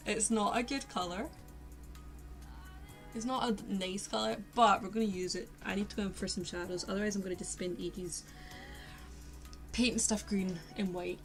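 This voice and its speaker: Young woman, posh Glasgow accent, rambling self-mocking streamer chat, mid pitch. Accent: posh Glaswegian Scottish. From Scotland. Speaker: art streamer. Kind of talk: casual live-stream chat while painting. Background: faint lo-fi music.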